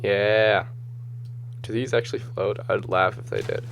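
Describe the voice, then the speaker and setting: Male gamer, low and slightly hoarse, casual thinking-aloud commentary recorded over game footage. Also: steady tone, measured pace, a bit bright, a little hiss or hum. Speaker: gamer, host of a gameplay video series.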